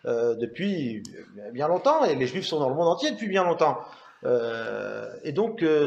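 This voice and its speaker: A man from France, a rabbi, speaking informally at a book talk, haltingly and often repeating words. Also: medium pitch. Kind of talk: informal spoken anecdote to an audience. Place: indoor talk venue. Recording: echoey room, dull sound.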